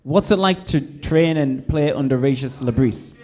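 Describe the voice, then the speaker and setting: A man's voice: mid-range voice, moderate pace, warm tone. A man hosting a live stage Q&A with footballers, jokey and teasing. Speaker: event host.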